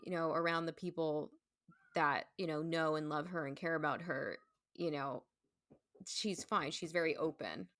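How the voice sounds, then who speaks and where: A woman with a slightly low voice chats casually as a guest. Her phrasing is informal and halting, often turning into asides. The sound is close and very dry, with no room echo. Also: fairly bright tone. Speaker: guest, stage performer. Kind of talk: casual conversation.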